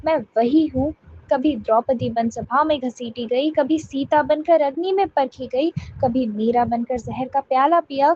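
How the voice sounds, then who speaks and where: A woman's voice: high-pitched, mellow, breathy edge. A woman reciting a dramatic poem in an emotional, impassioned, declamatory way. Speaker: poetry reciter. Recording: dull lo-fi sound with faint noise.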